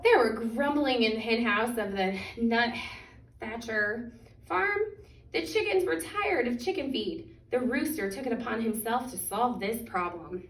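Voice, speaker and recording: A woman reads a picture book aloud for children in an expressive, deliberate way, stretching out key words. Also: a little high. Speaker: children's story reader. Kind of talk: animated picture-book storytime reading. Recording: echoey room, distant microphone.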